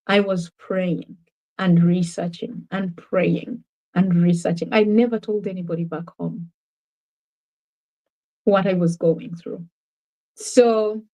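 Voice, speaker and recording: An earnest Ugandan woman speaks in a middle-pitched voice, recounting her experiences in a reflective, conversational way. Her Ugandan accent colours the English as she answers an interviewer. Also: a little mellow, low-quality recording. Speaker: interview guest. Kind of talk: personal testimony in conversation.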